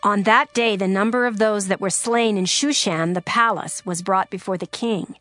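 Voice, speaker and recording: A woman narrating scripture in a steady, formal reading style. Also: medium pitch, normal speed, soft background music.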